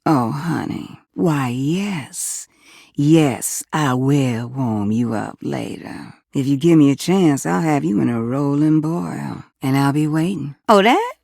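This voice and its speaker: A woman's voice: sits low, animated, slow, gravelly, darkish tone, no room sound, clean studio sound, full character voice. A woman voicing a flirty, teasing, gossipy household appliance in a video game, with a Southern US accent and sweet-talking manner. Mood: coy. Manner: sweet-talking, teasing, drawling terms of endearment. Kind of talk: video game character voice acting.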